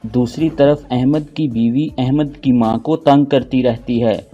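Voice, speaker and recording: A man's voice: mid-range voice, fairly even intonation, measured pace, mellow, highs cut off, faint music behind. A man narrating a scripted moral story as storyteller.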